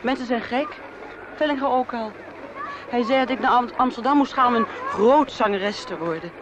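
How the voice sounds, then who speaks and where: A woman's voice: fairly high pitch, moderate pace, natural voice. A young actress playing a moody, self-deprecating young woman in a radio play, curt and guarded in manner. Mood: irritated. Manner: curt, defensive. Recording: dull, noisy radio play with outdoor sounds.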